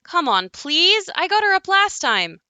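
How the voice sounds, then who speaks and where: A woman's voice: very high voice, sing-song, unhurried, bright, forceful tone, no echo, heavily acted. A woman acting in an audio play as an uneasy daughter who recalls growing up in a haunted house. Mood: pleading. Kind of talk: audio play monologue and dialogue.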